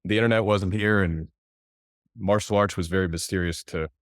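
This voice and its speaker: Very deep-voiced American man telling a story. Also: normal speed, dry recording, clean studio sound. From United States. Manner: relaxed, conversational, with fillers. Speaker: company executive. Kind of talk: storytelling in an interview.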